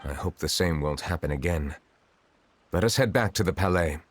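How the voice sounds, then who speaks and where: An actor voicing a dignified, reserved high official in a video game, formal and measured. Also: male voice, close to an everyday voice. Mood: grave.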